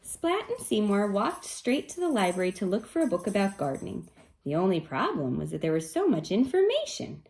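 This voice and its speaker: Animated woman reading a picture book to children. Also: medium pitch, low-quality recording. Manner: animated, coaxing, pausing to comment and wonder. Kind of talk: expressive read-aloud for children with chatty asides.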